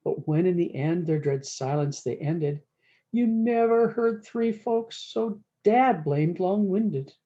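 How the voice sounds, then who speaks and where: Mellow woman reading a folksy storybook, muffled. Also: on the low side, lively intonation. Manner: folksy, drawn-out emphatic words. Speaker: storyteller reading a picture book. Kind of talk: rhyming cowboy-dialect storybook read-aloud with brief asides.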